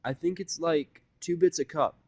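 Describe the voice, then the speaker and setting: Brash, excitable storybook girl pony, performed by a man, pitched up, cheeky and slangy, dry recording. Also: normal speed, no echo, natural voice. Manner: slangy, emphatic, boastful.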